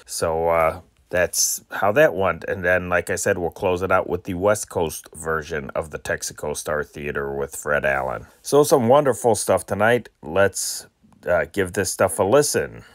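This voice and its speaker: A man with an American accent speaks as a casual host in a lowish voice. The sound is very dry, and he talks in a hesitant, meandering way. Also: a little slow, no echo. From Chicago area, United States. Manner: hesitant, rambling. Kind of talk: casual radio-show introduction.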